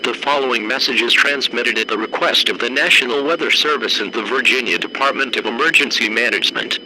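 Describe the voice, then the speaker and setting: Male emergency-broadcast announcer, acted: formal, flat, measured, stern, over clearly noisy radio-style audio. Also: mid-range voice, lightly acted. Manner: measured, deadpan, clipped official delivery.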